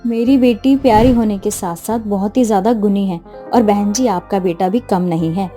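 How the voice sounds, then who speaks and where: A woman playing a middle-aged mother and mother-in-law who instructs and gently scolds in a Hindi moral story cartoon. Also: medium pitch, normal speed, faint music behind, natural voice. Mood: polite.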